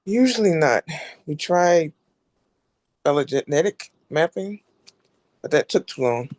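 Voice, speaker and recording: A man's voice: a little high, animated, a little slow, darkish tone, dry recording. A man playing a matter-of-fact, forty-ish chief geneticist, explaining things in a script read-through. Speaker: amateur actor at a table read.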